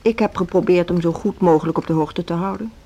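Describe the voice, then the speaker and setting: An actress playing a seasoned, resigned music school headmistress in a radio drama, composed and patronising. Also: female voice, on the low side, fast talker, warm tone, highs cut off. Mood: conciliatory. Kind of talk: Dutch social radio drama acting.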